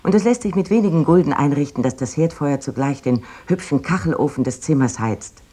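An actress playing a proud, delighted 19th-century woman writer, reading out her letter in precise, expressive, old-fashioned literary diction. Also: deep voice, a little fast. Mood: delighted, detailed.

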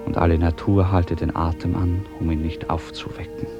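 A man narrating a literary radio play in measured, deliberate phrases. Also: low voice, little pitch movement, muffled recording, music in the background.

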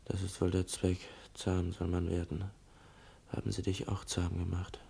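An actor playing a pensive, troubled schoolboy in a German radio drama, speaking in a halting, hushed, brooding way. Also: male voice, monotone, gravelly, husky, heavily acted. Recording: noisy track with low rumble.

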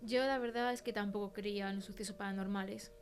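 Young Spanish woman, medium pitch, conversational. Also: steady tone, quick pace, soft background music. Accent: Spain Spanish. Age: young adult. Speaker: podcast guest, film producer. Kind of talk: conversational testimony.

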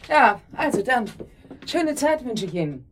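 An actress playing an emphatic, bewildered holiday-house caretaker in a naturalistic radio drama. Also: female voice, fairly high pitch, sing-song, a little slow, background noise. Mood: apologetic. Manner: emphatic, puzzled.

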